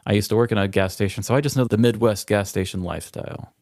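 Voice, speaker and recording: A man's voice: lowish voice, fast, darkish tone, dry recording, studio quality. A man co-hosting a podcast in casual, conversational chat. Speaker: podcast co-host.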